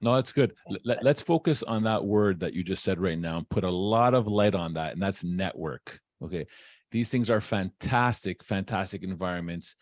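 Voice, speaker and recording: A man with a fairly low voice hosts a casual interview. He speaks conversationally, putting questions to guests. The recording is close and dry. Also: moderate pace, no room sound. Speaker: podcast host and interviewer.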